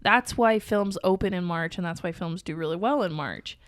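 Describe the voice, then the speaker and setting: A nasal-voiced woman in her thirties, co-hosting a podcast with a cold, talking quickly and laughing often. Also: fairly low pitch, no echo. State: has a cold. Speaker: podcast co-host. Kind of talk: casual chat.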